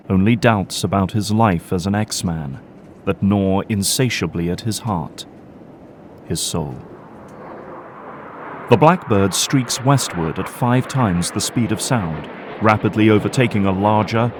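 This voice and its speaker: A man's voice: lowish voice, slight background noise. A man delivering grave, florid scripted narration for an audio drama.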